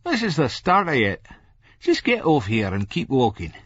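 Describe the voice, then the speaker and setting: A man's voice: medium pitch, wildly swooping pitch, moderate pace, fairly bright tone, husky, no room sound, lo-fi sound, pitched above the performer's own voice, full character voice. A man voicing a surly, tired Scottish cab driver in an audiobook, explaining things sarcastically. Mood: exasperated.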